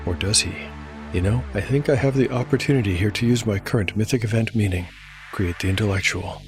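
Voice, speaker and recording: A man with a fairly low voice narrates a fantasy role-playing tale as its game master. His delivery is measured and scripted. Music plays clearly underneath.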